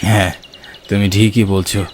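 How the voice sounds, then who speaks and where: A man's voice: lowish voice, little pitch movement, moderate pace, background noise, close to an everyday voice. A man voicing a concerned middle-aged father in a horror cartoon. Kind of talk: cartoon horror drama dialogue.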